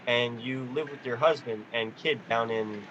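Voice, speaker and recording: A man's voice: medium pitch, normal speed, a bit bright, muffled recording, a little hiss or hum, close to an everyday voice. A man acting a desperate, stubborn family man in an amateur radio play. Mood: confused.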